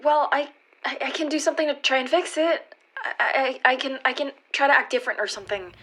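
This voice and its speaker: Radio play girlfriend, woman actor: slightly high voice, anxious and earnest, halting naturalistic delivery, reasoning and pleading to keep the relationship. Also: steady tone, a bit bright.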